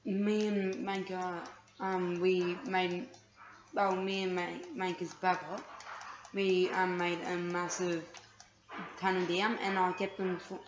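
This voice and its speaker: A woman chatting while playing a game with a friend, hesitant with many ums and a bit bossy, recorded in a room off a TV. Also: on the low side. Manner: hesitant, lots of ums, bossy with her co-player. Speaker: gamer. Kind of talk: casual gaming chat. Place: room filmed off a TV screen. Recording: echoey lo-fi room recording with noise.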